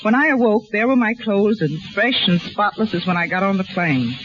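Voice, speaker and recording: A woman's voice: medium pitch, animated, moderate pace. Wisecracking woman, noisy vintage radio. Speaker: film script girl as radio guest. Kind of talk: scripted 1930s radio anecdote. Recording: noisy muffled 1930s radio recording.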